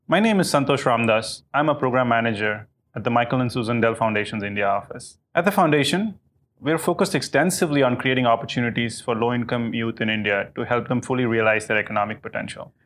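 A man hosts an interview, asking polite, measured questions. His voice sits at an ordinary middle pitch. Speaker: interviewer, program manager. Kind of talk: podcast interview.

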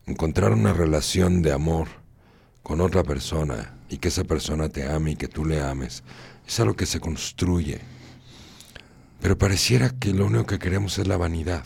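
A man's voice: sits low, normal speed, creaky, a little mellow, slightly rough, no room sound. A man hosting a radio talk show, moralizing and repeating phrases for emphasis. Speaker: radio host.

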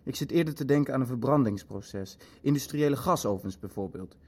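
A man's voice: mid-range voice, brisk, a little mellow, close to an everyday voice. A man playing an eager, businesslike Nazi official in a Dutch radio play, matter-of-fact as he calculates. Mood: businesslike, calculating.

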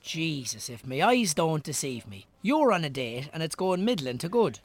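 Terry, lively teasing Irishman. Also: a little high, moderate pace. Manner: bantering, colloquial. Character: Terry, teasing old pal of a farmer, jovial pub regular. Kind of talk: naturalistic radio drama acting.

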